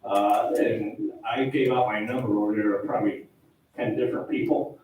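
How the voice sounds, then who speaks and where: A man, older, a town board official talking loudly and rambling at a public meeting. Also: lowish voice, fairly even intonation, normal speed. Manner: loud, chatty, rambling. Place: town hall meeting room. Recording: echoey hall, distant mic, faint noise.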